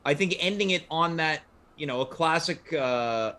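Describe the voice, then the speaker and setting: Man, very high-pitched voice, talk show host, casual group chat. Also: expressive, normal speed, pushed and bright.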